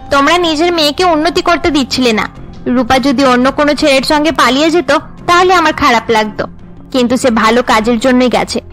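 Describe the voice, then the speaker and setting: Woman acting a high-voiced, firm cartoon matron. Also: fairly bright tone. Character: firm, principled middle-aged mother of a groom.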